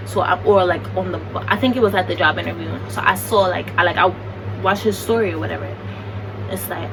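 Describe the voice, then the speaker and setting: A young American woman telling a story in a chatty, animated, slangy way. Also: on the low side. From United States. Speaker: vlogger. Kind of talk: casual storytime. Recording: distant mic with background noise.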